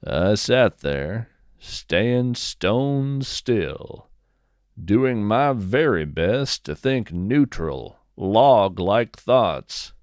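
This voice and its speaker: A man narrating scripted first-person fiction as a podcast host, with deliberate, descriptive, measured phrasing. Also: on the low side, big pitch swings, darkish tone, dry recording, studio quality.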